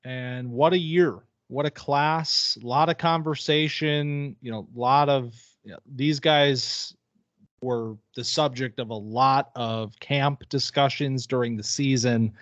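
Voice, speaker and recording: A man's voice: medium pitch, steady tone, a little slow, fairly bright tone, no room sound. A man co-hosting a casual sports podcast, chatty and upbeat, often restarting his phrases. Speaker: podcast co-host.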